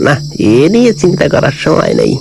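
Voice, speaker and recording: A man's voice: a little high, wildly swooping pitch, brisk, vocal fry, over music, exaggerated voice, poor audio. A man voicing a weary, wary village grandfather of about seventy in a cartoon ghost story, talking in a folksy, self-muttering way. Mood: weary, wondering. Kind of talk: animated ghost story dialogue.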